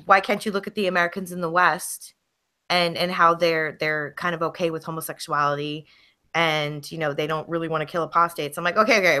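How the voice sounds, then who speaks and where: A woman's voice: sits low, sharp tone. A woman in casual conversation, talking in a sarcastic, mock-serious, teasing way.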